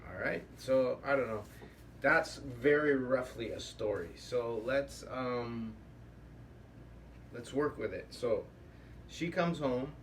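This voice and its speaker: A man's voice: mid-range voice, slow pace. A man hosting a workshop in a casual, improvised way, rambling as he thinks aloud. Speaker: puppetry workshop host. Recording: distant mic with faint room noise.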